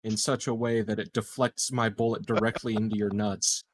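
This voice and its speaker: A man's voice: lowish voice, flat delivery, normal speed. A man playing a tabletop roleplaying game, improvising casually.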